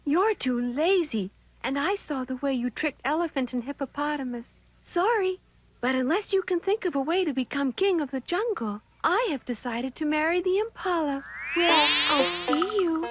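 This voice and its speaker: A woman's voice: high-pitched, expressive, normal speed, faint music behind. A woman voicing a graceful, frank female impala who is breezily dismissive, in a cartoon story. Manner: breezily dismissive, lightly patronizing.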